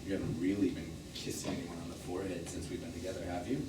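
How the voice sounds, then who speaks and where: A man's voice: deep voice, flat delivery, quick pace, lightly acted. A man acting a defensive, jealous lover in stage drama dialogue, terse, blunt and emotionally volatile. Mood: jealous, hurt. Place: theatre stage, recorded from the audience distance. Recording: echoey, distant, noisy live theatre recording.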